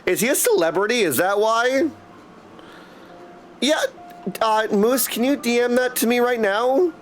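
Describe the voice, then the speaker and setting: A man's voice: extremely high pitch, measured pace, slight background noise. A man chatting casually and in a friendly way with viewers as he streams a video game. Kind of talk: casual livestream chat over gameplay. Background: music.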